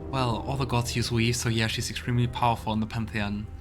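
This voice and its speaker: A man's voice: medium pitch, flat delivery, fast. A man hosting a let's play with casual gameplay commentary, musing and thinking aloud. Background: game music.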